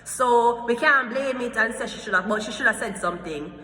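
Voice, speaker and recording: A woman's voice: on the high side, brisk, reverberant, big-room sound. A Jamaican woman ranting casually and bluntly, swearing freely and acting out little exchanges as she talks. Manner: blunt, profane, animated storytelling with mimicked exchanges. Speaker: vlogger. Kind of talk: casual rant to camera.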